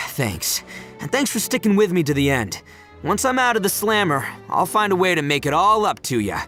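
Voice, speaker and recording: A man's voice: high voice, sing-song, normal speed, bright, forceful tone, exaggerated voice. A man voicing a brash, big-hearted young oni gang leader in a video game, in a boisterous, slangy, easygoing manner. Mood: sheepish, easygoing. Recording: music under the voice.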